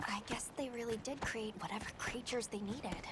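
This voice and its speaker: An actress playing a composed, knowledgeable field guide for monster hunters in a video game, explaining things analytically. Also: female voice, fairly high pitch, normal speed, very noisy, close to an everyday voice. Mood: thoughtful. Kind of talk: video game cutscene acting. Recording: loud music under the dialogue. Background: loud cinematic game music.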